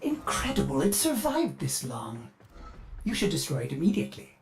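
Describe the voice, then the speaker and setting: An actor playing a ship's artificial intelligence in a video game, polite, formal, anxious and eager to defer. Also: male voice, a little high, wildly swooping pitch, moderate pace, slight background noise. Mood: cautious. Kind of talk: video game character acting. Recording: music behind the voice.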